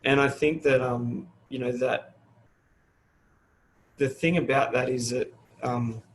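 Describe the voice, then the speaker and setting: A man's voice: mid-range voice, fairly even intonation, slow. A middle-aged man, a fire service manager talking openly in an interview, restarting his sentences often. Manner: open, rambling, many restarts. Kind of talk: casual interview talk.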